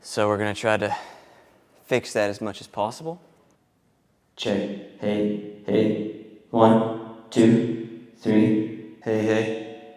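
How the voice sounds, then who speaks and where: A man's voice: on the low side, flat delivery, slow, long pauses, darkish tone, no echo. A laid-back man chatting casually and informally as a sound engineer vlogging his setup and testing microphones. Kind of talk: casual vlog chat with mic checks.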